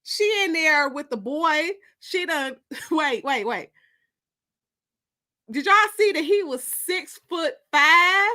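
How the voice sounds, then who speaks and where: An expressive, theatrical woman hosting story time, moving between dramatic narration and casual chat. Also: high voice, takes it slowly. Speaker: author and host of live story time.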